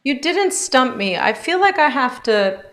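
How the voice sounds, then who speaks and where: A woman teacher answering questions in an informal, thoughtful, halting way, often checking with "right?", on a video call. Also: sits high, very animated, fairly bright tone. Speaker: yoga teacher. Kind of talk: informal question-and-answer chat after class. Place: indoor room on a group video call. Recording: roomy, echoing video call sound.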